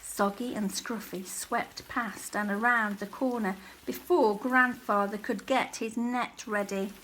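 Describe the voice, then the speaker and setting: A woman narrating a children's story aloud with expressive, dramatic delivery. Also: medium pitch, a little slow, slight background noise, low-quality recording.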